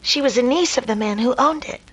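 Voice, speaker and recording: An earnest young lady of old radio drama, played by a woman, speaking high with a slightly husky edge. Her delivery is polite and dramatic in the 1930s manner. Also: brisk, a bit bright. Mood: nervous, earnest. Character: nervous, polite young lady, reenacting her defiant ancestress.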